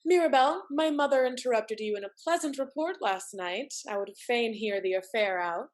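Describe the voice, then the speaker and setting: A woman acting a sharp-witted, effusive married lady in a Restoration comedy, theatrical and mannered. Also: a little high, expressive, normal speed, natural voice. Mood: coolly sarcastic.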